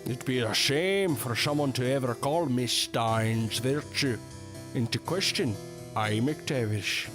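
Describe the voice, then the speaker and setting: A man's voice: mid-range voice, takes it slowly, over music, natural voice. An actor playing a haughty, spiteful male professor in an audio drama, speaking in a pompous, formal, sneering way. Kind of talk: period audio drama acting.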